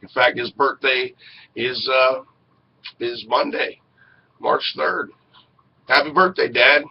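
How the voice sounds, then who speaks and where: A man's voice: medium pitch, fairly even intonation, slow, creaky. A middle-aged man hosting a web radio show in casual, folksy, effusive talk to the camera on a headset. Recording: dull lo-fi webcam audio with noise.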